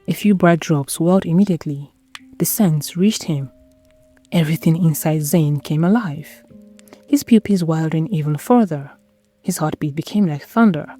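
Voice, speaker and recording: Low, mellow woman narrating dark romance. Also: normal speed, faint music behind. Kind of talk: dramatic scripted story narration.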